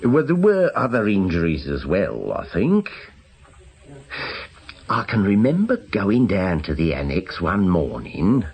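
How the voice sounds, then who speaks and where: Gossipy British clinic caretaker, very low voice, slow and unhurried, confiding radio-play delivery. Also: male voice, huge pitch swings, a little mellow, full character voice. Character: gossipy working man, chatty clinic caretaker. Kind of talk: naturalistic radio drama acting.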